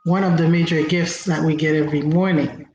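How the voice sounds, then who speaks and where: A woman from Haiti whose first language is Haitian Creole, giving an inspirational talk as host, slow and reflective with frequent pauses. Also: low-pitched, fairly even intonation, a little mellow. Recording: roomy, dull lo-fi recording with faint noise.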